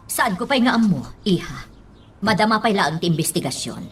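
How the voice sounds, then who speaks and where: A woman's voice: mid-range voice, very animated, moderate pace, a little hiss or hum, close to an everyday voice. A woman acting an anxious, protective mother in a radio drama. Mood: concerned. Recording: music behind the voice.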